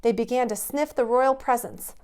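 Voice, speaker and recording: A woman reading a storybook aloud to young children in an expressive storytelling manner. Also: on the high side, normal speed, smooth.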